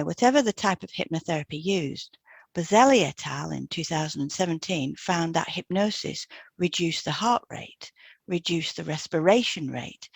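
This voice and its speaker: A woman's voice: fairly low pitch, no room sound. Woman co-presenting, dry close sound. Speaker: podcast co-presenter and hypnotherapist. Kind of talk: scripted educational narration.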